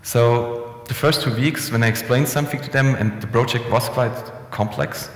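Young man, easygoing conference speaker, gravelly voice, echoey room sound. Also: medium pitch, moderate pace. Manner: relaxed, conversational, frequent restarts and fillers. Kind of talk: conference presentation. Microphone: headset mic. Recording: echoey hall with long reverb.

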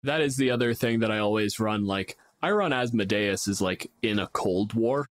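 A man's voice: fairly low pitch, normal speed. A man co-hosting a podcast in a casual, chatty style about tabletop games. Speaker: podcast co-host.

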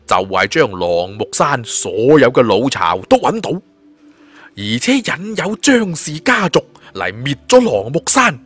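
Hong kong cantonese guy telling a suspense fantasy tale, really lively pitch, faint music behind. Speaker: audiobook narrator. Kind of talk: dramatic scripted storytelling of a suspense fantasy novel.

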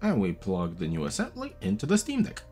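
A man with a low voice gives a relaxed, chatty tutorial, moving patiently through each step. His pitch swings up and down a lot as he talks, and soft music plays under him. He sounds close to a desk mic in a small room. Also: brisk. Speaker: tech video host. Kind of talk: casual tutorial walkthrough. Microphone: studio mic on a boom arm, wearing headphones.

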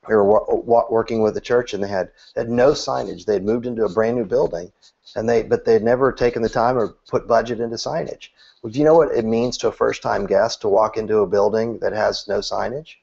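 A man's voice: fairly low pitch, gravelly, husky. A man in his thirties giving church marketing advice on a video call, earnest, with frequent restarts. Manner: earnest, animated, gestures with both hands; repeats words when restarting. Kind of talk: interview answer, advice. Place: small room, seated on a couch. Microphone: video call webcam. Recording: dull lo-fi webcam sound.

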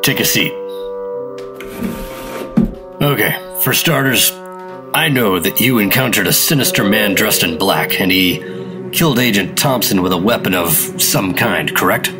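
An actor playing a cool, secretive government operative with a clipped, hard-boiled manner in a 1950s-style radio drama. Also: male voice, lowish voice, animated, moderate pace, music in the background, lightly acted. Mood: cool, probing.

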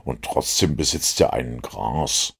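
A man voicing a composed master detective in an audiobook, lecturing in a measured, self-assured way. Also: rumbling low, gravelly, husky, heavily acted. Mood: calm, knowing.

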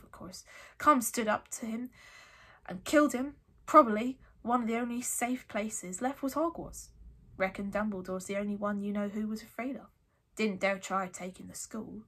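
A woman voicing a gruff, big-hearted giant of a man in a storybook, with folksy, blustering, sometimes halting speech. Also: medium pitch, fairly bright tone. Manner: folksy dropped-word speech, halting when uneasy.

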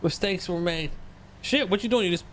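A man's voice: high-pitched, brisk, fairly bright tone. A man in his thirties laughing and ribbing a friend over voice chat, tossing in "dude" and "man". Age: 30s. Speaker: gamer on voice chat. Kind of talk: casual banter over voice chat.

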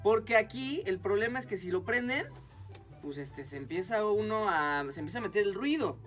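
A man's voice: sits high, animated, normal speed, fairly bright tone, faint music behind. A young man with a Mexican accent giving casual instructions as a dubbing coach, often checking in with short questions. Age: young adult. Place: small recording studio.

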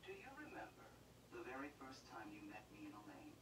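An actor playing a loyal, caring young soldier in a dubbed anime drama, played back from a TV across a room. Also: male voice, medium pitch, wildly swooping pitch, fairly quick, reverberant, distant mic. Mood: warm, concerned. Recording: TV playback picked up across a noisy room.

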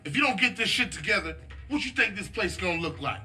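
A man's voice: high-pitched, expressive, fast, sharp tone, full character voice. An actor playing a stern, hot-tempered street gangster in a video game, preachy and scolding in slangy speech, fiercely loyal to his neighborhood. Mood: scornful. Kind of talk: dramatic game cutscene dialogue with street slang.

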